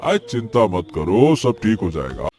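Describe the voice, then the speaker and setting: A man playing a struggling farmer in a cartoon moral story, a supportive friend with a measured, reassuring manner. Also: fairly low pitch, sing-song, darkish tone. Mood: reassuring, calm. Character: struggling taro farmer Mohan, supportive, reflective childhood friend. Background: faint music.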